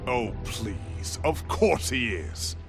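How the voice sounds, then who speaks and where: A man's voice: medium pitch, sing-song, drawn-out words, gravelly, music in the background, exaggerated voice. An actor playing an arrogant, wealthy, short-tempered man in a video game, pompous and scornful. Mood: indignant. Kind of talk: dramatic video game acting.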